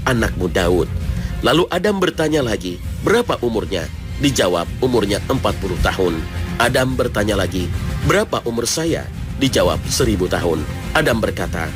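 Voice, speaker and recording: Male narrator, lowish voice; formal, measured religious documentary read with prominent music underneath. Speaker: narrator of an Islamic TV programme. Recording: music behind the voice. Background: loud background music.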